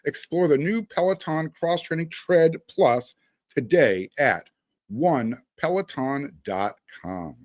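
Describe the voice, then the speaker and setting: A man's voice: a little high, lively intonation, takes it slowly, darkish tone, no echo. A man hosting a sports podcast, talking in a conversational way with emphatic phrasing. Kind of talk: casual sports talk with read-out sponsor spots.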